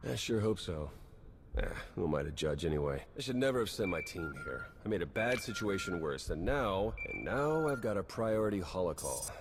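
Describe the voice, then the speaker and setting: A self-doubting game spy with wry delivery. The voice moves around a lot in pitch, over clear background noise. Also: male voice, very animated, fairly quick. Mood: wry, regretful. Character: self-doubting, wry male secret agent. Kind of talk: video game cutscene acting.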